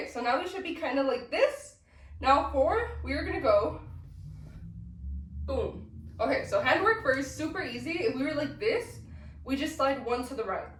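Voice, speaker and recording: A young woman with a cold, sniffling as she casually teaches dance steps and counts the beats aloud. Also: high voice. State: sick, sniffly. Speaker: dance tutorial instructor. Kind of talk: casual step-by-step dance instruction. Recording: echoey room, mic at a distance.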